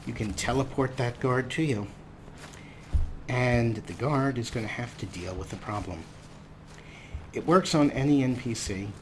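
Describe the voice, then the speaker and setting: A man's voice: mid-range voice, measured pace, long pauses, slight background noise. A man hosting a game let's play, commenting in a sarcastic, self-mocking way with casual swearing. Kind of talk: gameplay commentary.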